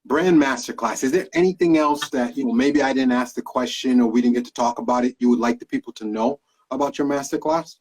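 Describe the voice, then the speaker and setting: Husky man hosting. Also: medium pitch, brisk, hardly any pauses. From Guyana. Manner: hesitant, many fillers and restarts. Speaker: host interviewer. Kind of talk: casual interview.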